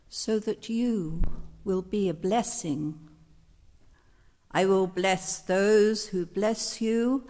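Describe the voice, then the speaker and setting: A woman reading scripture aloud during a church service in a measured, formal way. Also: medium pitch, a little mellow. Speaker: scripture reader. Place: church sanctuary. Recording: church hall reverb.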